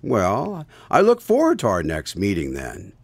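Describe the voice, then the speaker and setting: An actor as an animated, polite male radio host. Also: fairly low pitch, measured pace, gravelly, breathy edge, dry recording, full character voice. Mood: curious, courteous. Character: attentive male host interviewing a storyteller. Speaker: host, announcer. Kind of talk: radio drama acting. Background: music.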